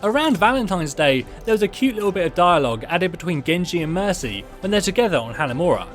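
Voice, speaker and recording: A man's voice: sits high, big pitch swings, fast, soft background music. A man hosting a video, reading scripted narration. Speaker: video host. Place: close mic voice-over with music behind.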